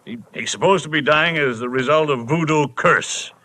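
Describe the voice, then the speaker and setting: An actor playing a stuffy, agitated middle-aged insurance executive with a proper, emphatic manner in vintage radio style. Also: male voice, fairly high pitch, moderate pace, pushed and bright, dry recording. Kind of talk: 1940s radio acting. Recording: noisy vintage radio recording.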